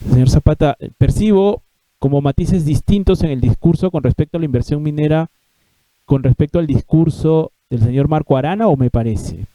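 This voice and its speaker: Dark-voiced interviewer, dry sound. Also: male voice, a little high, brisk, no echo, rough recording. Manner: probing, frequent murmured acknowledgements. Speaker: interviewer host. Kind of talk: political interview.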